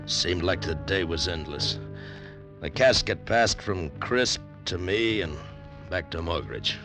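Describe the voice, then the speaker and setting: A hard-bitten adventurer, with a very deep voice and terse, clipped narration. Music plays clearly under the old radio broadcast. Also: male voice, slow pace. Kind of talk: 1940s radio acting.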